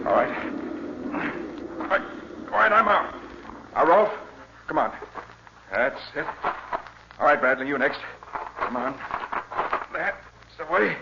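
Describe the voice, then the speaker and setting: An actor playing an urgent, frightened doctor leading an escape, with breathless exclamations, in 1950s radio drama style. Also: male voice, fairly high pitch, big pitch swings, takes it slowly, creaky, pauses often, exaggerated voice. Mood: urgent effort. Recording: muffled, very noisy 1950s radio recording.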